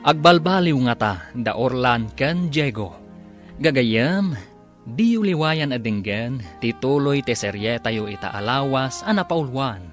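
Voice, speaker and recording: Male announcer for serial radio drama: medium pitch, dramatic scripted delivery, music underneath. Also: animated, measured pace, fairly bright tone.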